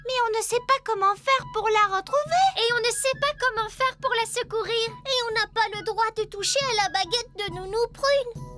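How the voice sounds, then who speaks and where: A woman's voice: very high-pitched, normal speed, over music. A woman voicing an eager, polite little fairy princess in a cartoon, with a childlike, earnest way of talking. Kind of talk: French-language cartoon dubbing.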